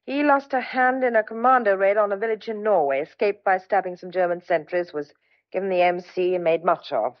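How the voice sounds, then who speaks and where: A woman's voice: medium pitch, a little fast, natural voice. A young woman playing a kindly, chatty farmer's daughter in an audio drama, polite and a little flustered. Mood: intrigued. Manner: polite, eager, slightly flustered. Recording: dull lo-fi audio.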